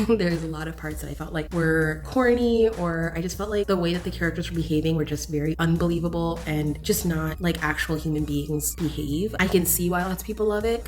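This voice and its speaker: Female book vlogger, slightly low voice, candid and enthusiastic casual delivery, music underneath. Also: darkish tone. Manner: gushing, candid, emphatic. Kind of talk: casual chatty book review to camera.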